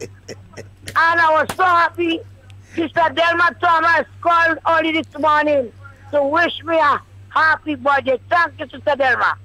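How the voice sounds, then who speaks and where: A woman's voice: sits high, fairly even intonation, a little slow, pushed and bright, no echo, low-quality recording. A woman, calling in to a radio show and chatting cheerfully in a rambling way. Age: 60s. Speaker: radio call-in caller. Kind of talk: casual chat.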